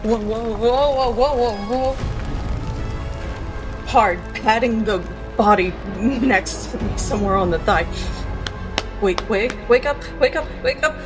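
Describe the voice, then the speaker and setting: A woman's voice: a little high, slow, pauses often, over music, rough recording. A woman playing a tabletop game, improvising in character with urgent, short, repeated phrases. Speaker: tabletop roleplay player.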